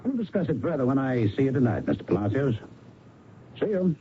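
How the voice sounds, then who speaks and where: Persistent, courteous male investigator played by a man, animated pitch, old radio drama on a dull, noisy recording. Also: a little fast, lightly acted. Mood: casual, probing. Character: polite, persistent male investigator, probing and suspicious.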